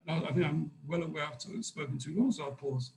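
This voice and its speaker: A man speaking off the cuff as a conference panelist, halting and repeating words as he works out his thoughts. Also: fairly high pitch, creaky, darkish tone, poor audio.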